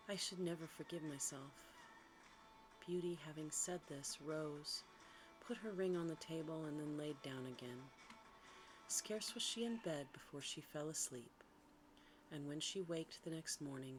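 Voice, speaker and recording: A woman narrating a bedtime story in ASMR style, softly and often slipping into a whisper. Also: sits low, slight background noise, poor audio.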